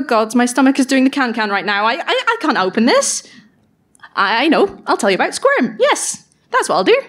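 An actress playing an excitable, nervous young apprentice magician, speaking her diary in an earnest, gushing way in a live audio drama. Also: sits high.

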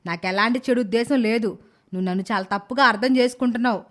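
A woman playing a bossy old grandmother and mother-in-law in an animated moral story, blunt and grumbling. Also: fairly high pitch, fast, lightly acted. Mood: grudging.

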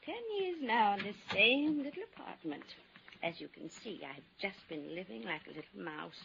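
An actress playing a genteel, scatterbrained old widow in a 1950s radio drama, fluttery and trailing off. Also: female voice, mid-range voice, lively intonation, moderate pace, gravelly. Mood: genteel, wistful. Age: older. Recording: dull, faintly noisy old radio recording.

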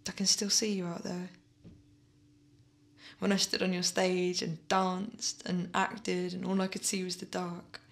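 An actress playing a haunted, obsessively loving daughter in an audio play, speaking with halting intensity and repeating her words. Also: on the low side, monotone. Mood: serene, obsessive. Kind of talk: dramatic monologue, audio play.

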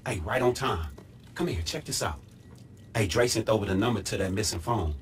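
A man's voice: lowish voice, lively intonation, brisk, slight background noise, close to an everyday voice. A man acting a brash, streetwise middle-aged American fixer in a video game, with slangy, profane swagger. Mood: businesslike.

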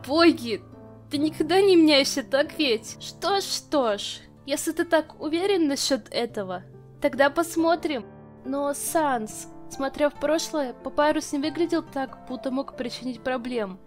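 A woman's voice: sits high, measured pace, faint music behind. A woman voicing a nerdy, shy female lizard scientist in a fan comic dub, giggly and hesitant. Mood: playful.

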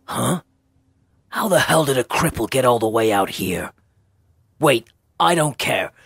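A man acting a proud, scornful young man with a raspy voice and gruff, defiant manner in an audio drama. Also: medium pitch, expressive, measured pace, fairly bright tone, no echo, studio quality, full character voice. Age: young adult.

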